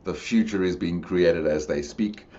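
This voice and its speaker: A man's voice: low-pitched, unhurried, mellow, a little hiss or hum. A middle-aged man with a South African accent, speaking as a podcast guest in a reflective, expansive way. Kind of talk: conversational interview. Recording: dull lo-fi audio.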